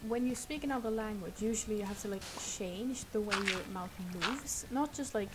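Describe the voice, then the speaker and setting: A young woman with a Swedish accent, a native Swedish speaker, chatting casually and rambling softly while she plays a game on stream. She speaks with a sore throat. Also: medium pitch, a little fast, loud music behind the voice. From Sweden. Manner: rambling, soft, laughs often. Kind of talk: casual chat while gaming. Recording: loud noise behind the voice.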